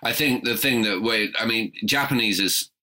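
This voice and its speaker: A man's voice: lowish voice, moderate pace, pushed and bright. A man hosting a casual podcast chat, teasing his guest with jokes. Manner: teasing, joking. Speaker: podcast host.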